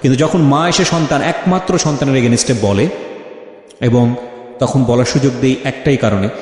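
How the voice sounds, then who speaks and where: A man's voice: mid-range voice, normal speed, darkish tone. A man hosting a life-story interview, speaking emphatically and repeating phrases for stress as he questions and addresses listeners. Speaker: show host and interviewer. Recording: very echoey, long reverb.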